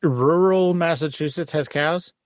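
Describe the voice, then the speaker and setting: A man's voice: on the high side, measured pace, dry recording. Podcast host, man, jokey and easygoing, dry close mic. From San Antonio, Texas. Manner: jokey, laid-back, self-deprecating. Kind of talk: casual podcast interview.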